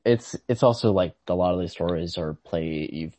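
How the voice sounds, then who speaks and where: A man chatting casually as a podcast co-host, thinking aloud in a halting, tentative way. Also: low-pitched, warm tone. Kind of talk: casual literary chat.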